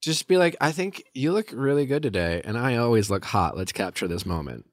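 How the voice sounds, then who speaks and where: A man's voice: mid-range voice, big pitch swings, brisk, no echo, clean studio sound. A man hosting a podcast, giving direct advice in a casual, joking way. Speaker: podcast host.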